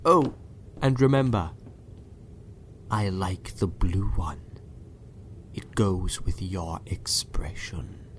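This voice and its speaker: A man's voice: sits low, expressive, slow, pauses often, background noise. A man playing a sinister doctor in an audio drama, politely menacing and condescending, sometimes murmuring. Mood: quiet threat.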